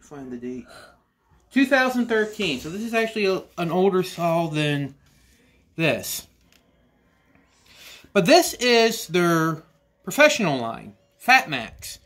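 A man in his forties talks through a tool review in a cluttered workshop. His voice sits a little high, and he speaks slowly and loosely, doubling back on his words. The mic sits close, as if clipped to his body. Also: fairly bright tone. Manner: slow, rambling, with frequent restarts and "you know". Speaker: woodworking channel host. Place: cluttered indoor workshop or basement.

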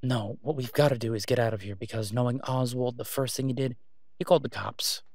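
A man's voice: medium pitch, fairly even intonation, a little fast, a little mellow, no room sound, clean studio sound, natural voice. A man playing a sardonic, exasperated but protective vigilante antihero in an audio roleplay, with a weary, clipped manner. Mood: resigned, urgent. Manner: sarcastic, weary, clipped, emphatic when irritated. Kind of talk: solo audio roleplay acting, conversational.

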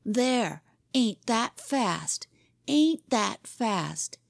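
A woman's voice: fairly high pitch, unhurried, a little mellow, no echo, clean studio sound, pitched above the performer's own voice, natural voice. A woman voicing a proud, defensive small boy in a storybook, skeptical and stubborn, repeating himself. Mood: triumphant. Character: anxious, defiant small boy, proud of his toy. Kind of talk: storybook character reading.

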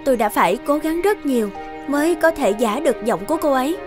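An actress playing a composed young professional woman in a cartoon, calm and articulate. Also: high-pitched, fast talker, music in the background. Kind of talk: Vietnamese cartoon dubbing.

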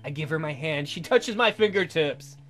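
A man's voice: fairly high pitch, animated, normal speed, a bit bright, faint music behind. A man doing a let's play, reading visual novel narration aloud. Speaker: let's player.

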